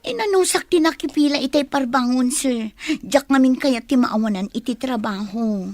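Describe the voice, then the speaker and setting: A woman's voice: sits high, moderate pace, a little mellow, dry recording, lightly acted. A woman playing a timid young daughter and employee in an Ilocano radio comedy drama, with an Ilocano accent. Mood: meek, pleading. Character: young daughter, timid employee afraid of losing her job.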